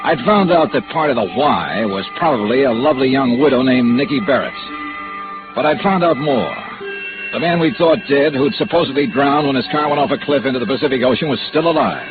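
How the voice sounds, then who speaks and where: An American actor playing a wisecracking, world-weary insurance investigator in a vintage radio noir drama, with clipped, dry delivery. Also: male voice, medium pitch, lightly acted. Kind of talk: old-time radio noir acting and first-person narration. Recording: muffled vintage radio broadcast with music.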